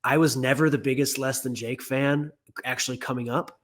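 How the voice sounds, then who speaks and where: A man with a high tenor voice, a label owner and singer, talking in a casual interview, rambling with lots of "like" and restarts. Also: fairly even intonation, normal speed, fairly bright tone. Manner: rambling, full of "like" and restarts, laughs easily.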